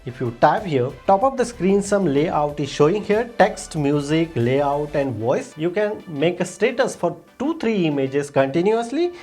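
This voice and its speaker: A low-voiced woman with an Indian accent walks viewers through a screen demonstration. Her pitch moves in an animated way, and she stresses the start of each simple instruction. Faint music plays behind her. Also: a little slow, few pauses. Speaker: tech tutorial host. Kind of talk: step-by-step screen demonstration narration.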